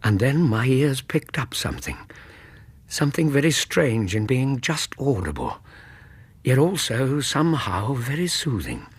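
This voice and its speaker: An actor playing an earnest Victorian British gentleman, a loyal companion narrating a radio drama in a measured, suspenseful, literary way with a husky voice. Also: mid-range voice, very animated, dry recording. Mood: tense, suspenseful.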